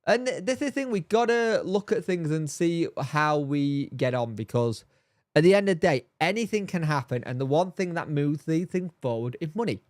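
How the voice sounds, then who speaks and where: A man with a somewhat high voice that sounds bunged up, nasal and throaty, as if he has a cold. He rambles and repeats himself, chatting casually to his viewers. His voice is recorded close and dry, with no room sound. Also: expressive, normal speed, darkish tone, no room sound. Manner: rambling, repeats himself, answers chat by name. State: congested, bunged up. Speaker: fan channel host reading live chat. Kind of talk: casual live-stream chat.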